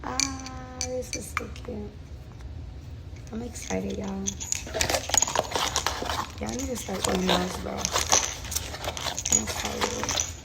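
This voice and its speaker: A woman nail technician with an accent chatting excitedly as she unboxes her supplies in a casual vlog. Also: mid-range voice, extremely slow, vocal fry, pauses often, very noisy. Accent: non-native English accent. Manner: chatty and excited, lots of fillers. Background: loud crinkling and rustling of packaging.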